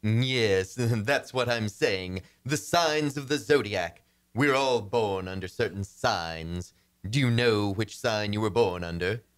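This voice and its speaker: A formal male astrologer played by an actor in an old-time radio comedy. His low voice is courteous and patronizing, with exasperation never far beneath. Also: slow. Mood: courteous, professional.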